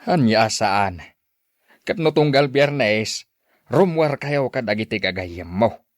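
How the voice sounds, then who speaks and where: A man's voice: medium pitch, animated, slow, no room sound, clean studio sound. A man with an Ilocano Filipino accent playing a concerned, admonishing father in an audio drama. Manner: questioning, admonishing. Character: concerned, admonishing father of a grown married daughter.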